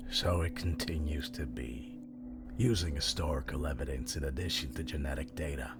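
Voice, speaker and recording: A man playing a cold, calculating agent of a secret order, narrating an audio drama solemnly and deliberately in a gravelly voice. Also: very deep voice. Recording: loud music under the voice.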